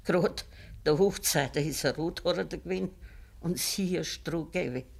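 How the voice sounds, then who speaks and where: An old Bavarian peasant grandmother with a gravelly, creaking voice. She is blunt and morally firm, speaking in dialect in the manner of a 1950s radio play. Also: sits low, a little slow, full character voice. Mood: grave storytelling. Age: elderly.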